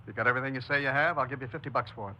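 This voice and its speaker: A shady, wary service station man haggling in an old radio crime drama. His voice is piercingly forceful and quick, with colloquial speech. The recording has a faint hiss and a narrow, dated sound. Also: medium pitch. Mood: wary, bargaining. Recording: dull, faintly noisy 1950s radio recording.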